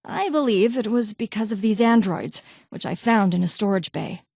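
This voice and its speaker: A woman's voice: medium pitch, normal speed, creaky, a little mellow, dry recording, clean studio sound. A woman voicing a brusque, condescending vault doctor and scientist in a video game, with clipped, formal, haughty delivery. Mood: businesslike. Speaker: voice actor in a game mod. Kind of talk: scripted game character dialogue.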